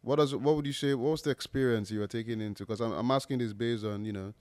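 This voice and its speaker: A man's voice: mid-range voice, fast, a little mellow. A man hosting a podcast, asking rambling questions and cracking jokes. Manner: joking, rambling questions with restarts. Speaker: podcast host. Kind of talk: casual interview chat.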